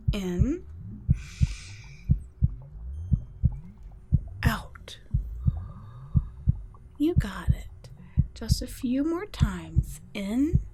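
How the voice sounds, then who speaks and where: A woman's voice: fairly high pitch, noisy background, full character voice. Woman playing a whispering vampire scientist. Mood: soothing. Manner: soft-spoken, patronizing, often whispering. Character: cool, condescending female vampire scientist. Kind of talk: ASMR roleplay audio.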